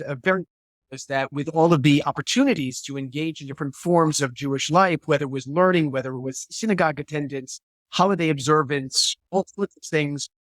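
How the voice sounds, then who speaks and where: Guest speaker, man, 50s, slightly high pitch, thoughtful and searching, video-call mic. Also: dry recording. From New Hampshire, USA. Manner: thoughtful, repeats words as he searches for phrasing. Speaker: guest historian and theologian. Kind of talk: reflective interview answer.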